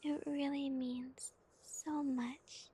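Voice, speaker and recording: A woman whispering gently and softly as an ASMR streamer, giggling now and then and playing a cute spooky bat persona. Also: sits high, level tone, very slow. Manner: calls herself a bitty bat, spooky cutesy persona. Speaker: ASMR streamer reading chat.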